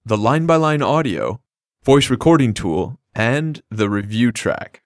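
A man's voice: mid-range voice, animated, unhurried, no room sound. A man co-hosting a scripted language lesson, explaining phrases in an upbeat, plain way. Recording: dry studio recording.

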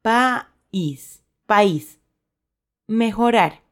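A woman's voice: medium pitch, animated, extremely slow. A woman co-hosting a language lesson, presenting words and example sentences.

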